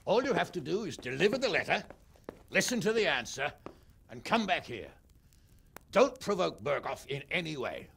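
A stern older nobleman in a video game, firm and instructive, with a gravelly, rough-edged voice that leaps lively up and down and sits a little high. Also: moderate pace, pauses often, heavily acted. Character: stern older nobleman uncle giving orders. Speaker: game character.

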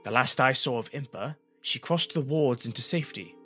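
A man voicing a calm, stoic female warrior, speaking evenly and quietly with grave compassion in a fantasy story, over music. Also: mid-range voice, close to an everyday voice. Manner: even, quiet, measured.

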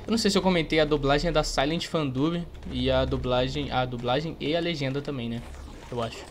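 A man's voice: medium pitch, a little fast, faint music behind. A young man with a Brazilian accent giving playful, slangy, casual gameplay commentary as a YouTuber. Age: young adult. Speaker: gaming YouTuber.

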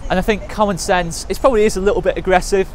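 A young man speaks bluntly and frankly to camera, reviewing a football match the way a frustrated fan would. His voice sits a little high for a man. He is recorded outdoors beside a stadium car park, with faint passing traffic behind him. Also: fairly even intonation, racing. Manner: blunt, frank, fan's frustrated verdicts with many fillers. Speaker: football fan channel presenter. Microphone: camera mic, chest-up framing. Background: faint passing cars.

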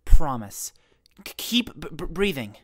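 A man acts out a soothing young cartoon character who stutters heavily. His delivery is slow and gentle, with a lively range of pitch. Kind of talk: dramatic comic dub acting.

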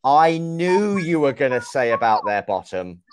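Young guy on a video call w/ earphone mic, talks real slow to a kid, voice bounces all over. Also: mid-range voice, slight background noise. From England. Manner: slow, clear and lively, often exclaims and laughs. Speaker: online English teacher. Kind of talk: slow, encouraging chat with a young child. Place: small room indoors. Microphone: video call with wired earphone mic.

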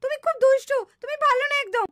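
A young doll heroine for a puppet show. The voice is tiny and extremely high, with an emphatic, plaintive manner and a childlike indignant edge. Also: female voice, quick pace, fairly bright tone. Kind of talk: puppet cartoon acting.

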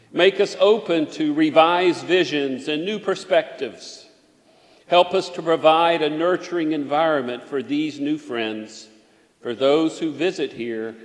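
A man, a pastor, leading a measured, solemn prayer and welcoming new church members. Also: fairly high pitch. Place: large church sanctuary. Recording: echoey sanctuary with long reverb.

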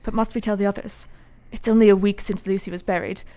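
Radio drama doctor, played by a woman: earnest, sceptical, troubled, formal diction, mid-range female pitch. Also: steady tone, quick pace, warm tone, lightly acted. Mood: reluctant, anxious. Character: troubled, sceptical male doctor, the professor's former student.

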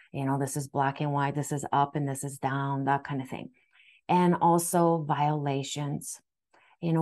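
Woman with a low voice, first language Japanese, soft and earnest spiritual talk, home webcam. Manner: soft, earnest, with frequent restarts and fillers. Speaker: spiritual astrology guide. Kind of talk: gentle spiritual talk to camera. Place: home room indoors. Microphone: webcam framing, seated facing camera.

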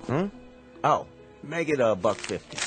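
An actor playing a wisecracking bartender in a radio drama, dry and sardonic. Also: male voice, mid-range voice, very animated, measured pace. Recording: radio play with music underneath.

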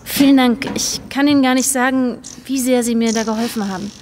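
An actress playing a polite, apologetic young woman who speaks haltingly, in a naturalistic audio drama. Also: a little high, noisy background, natural voice. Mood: grateful.

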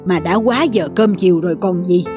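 A woman voicing a kindly old village father in an animated folk tale, plaintive and fatherly, with a husky voice. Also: lowish voice, moderate pace, music in the background, natural voice. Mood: hurt, dismayed.

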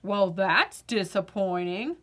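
Woman doing a low, deadpan grumpy potato. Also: slow. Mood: grumpy, unimpressed. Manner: flat, deadpan, dismissive. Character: grumpy, unimpressed, contrary talking potato.

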